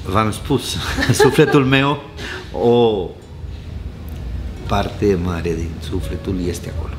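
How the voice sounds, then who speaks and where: Costa Rican man in his sixties, low voice. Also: animated, over music. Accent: Costa Rican Spanish. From Costa Rica. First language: Spanish. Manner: warm storyteller, chuckles often, gestures with hands. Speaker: restaurant owner. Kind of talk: casual interview chat. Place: indoor restaurant bar.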